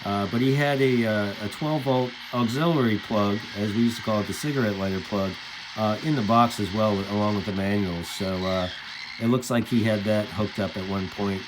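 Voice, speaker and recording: A middle-aged man presenting as a radio hobbyist, casually showing gear in a halting, conversational way. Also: on the low side. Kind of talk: casual hands-on gear demonstration. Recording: clear background noise.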